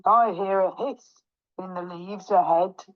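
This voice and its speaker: Storybook monster voiced by a woman, big, male, gullible, slow declarations, dry lo-fi sound. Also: mid-range voice, dry recording, natural voice. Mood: plain, menacing. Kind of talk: children's storybook read aloud.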